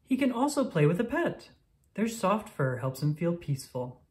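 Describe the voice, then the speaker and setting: A woman reading a children's picture book aloud in an expressive, deliberate storytelling manner for young listeners. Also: lowish voice. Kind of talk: scripted read-aloud narration of a picture book.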